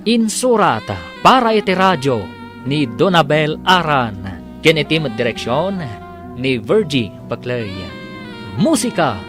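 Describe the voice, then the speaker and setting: Animated Filipino male radio drama announcer. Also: fairly high pitch.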